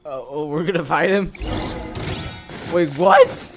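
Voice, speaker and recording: A man's voice: a little high, slow pace. A man giving casual, slangy gameplay commentary, reacting with amusement as he plays. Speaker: gamer commentating on playthrough. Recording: loud game music under voice.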